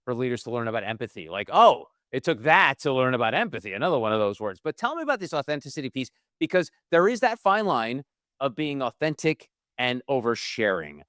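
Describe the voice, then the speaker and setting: A man's voice: medium pitch, big pitch swings, brisk, a bit bright, no echo, clean studio sound. A man hosting a podcast interview, chatty and jokey as he asks his questions. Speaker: podcast host. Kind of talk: interview, casual chat.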